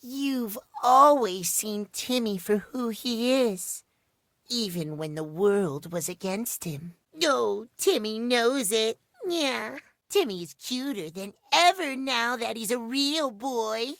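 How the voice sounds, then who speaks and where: A man's voice: very high voice, takes it slowly, vocal fry, fairly bright tone, no echo, studio quality, heavily acted. A man voicing a childish, needy living clock boy in a video game, with cutesy, playful baby talk that refers to himself in the third person. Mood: proud, tender. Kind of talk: animated video game character acting.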